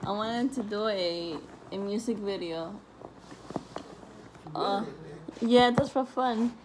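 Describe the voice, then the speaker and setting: A woman's voice: mid-range voice, slow. A young woman chatting casually into her phone while traveling, laughing often and mixing in some Spanish. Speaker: vlogger. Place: hotel room and car. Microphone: phone held at arm's length. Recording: phone video with clear background noise.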